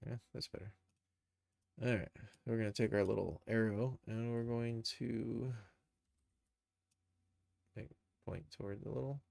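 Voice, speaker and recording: A man's voice: mid-range voice, fairly even intonation, unhurried, creaky, long pauses, darkish tone, no room sound. A man streaming a casual tutorial, thinking aloud informally as he works. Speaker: streamer, game developer and animator. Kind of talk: casual live screen-share tutorial.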